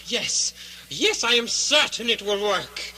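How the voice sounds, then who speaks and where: An actor playing an anxious, conniving bishop in a radio drama, pleading and fussy in a theatrical, deferential way. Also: male voice, sits high, sing-song, slow pace, sharp tone, heavily acted. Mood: sudden scheming. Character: sniveling, anxious, conniving old-world bishop. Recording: old radio broadcast, faint noise.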